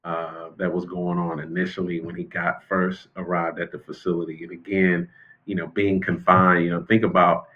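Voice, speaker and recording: An American man speaking as an expert interview guest and former prison official, explaining things in a measured, conversational way. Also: low voice, flat delivery, narrow, tinny audio. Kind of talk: unscripted interview commentary.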